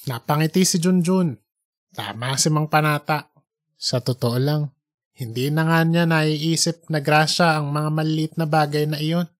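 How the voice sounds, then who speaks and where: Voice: medium pitch, fairly even intonation, a little slow, dry recording. A narrator reading a scripted comic story.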